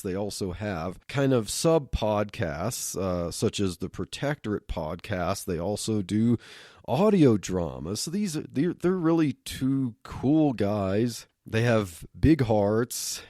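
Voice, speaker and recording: A man's voice: on the low side, mellow, no room sound, studio quality. A man hosting a podcast, speaking conversationally in a solo introduction while getting over a head cold. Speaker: podcast host.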